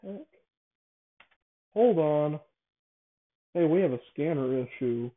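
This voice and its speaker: A man hosting a casual, improvised show, talking in a halting way, repeating and correcting himself as he explains things. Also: a little high, animated. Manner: halting, self-correcting, repetitive phrasing. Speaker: show host. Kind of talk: casual improvised monologue, explaining a device idea. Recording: thin, phone-like narrowband audio.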